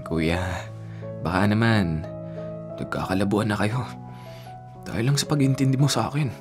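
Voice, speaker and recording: A performer playing a gentle, worried, ailing younger sibling in a Filipino radio drama, speaking softly and hesitantly with a Filipino accent. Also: medium pitch, normal speed, lightly acted. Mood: concerned. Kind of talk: naturalistic radio drama acting. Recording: music bed behind the voice.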